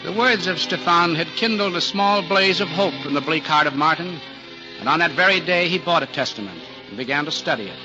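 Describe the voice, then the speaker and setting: Music swells under a male storyteller in a muffled old radio recording. His voice sits a little high, and he narrates the scripted drama in a solemn, literary forties style.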